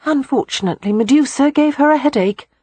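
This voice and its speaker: A woman reading a novel aloud, voicing a bright, frank and eager young gentlewoman in a much higher voice. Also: a little fast, no room sound, lightly acted. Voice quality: bright, earnest.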